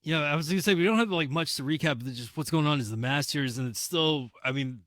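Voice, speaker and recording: A man co-hosting a podcast as its producer, agreeable and chatty, quick with jokes and back-and-forth banter. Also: a little high, fairly bright tone, no echo, studio quality. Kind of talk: casual chat.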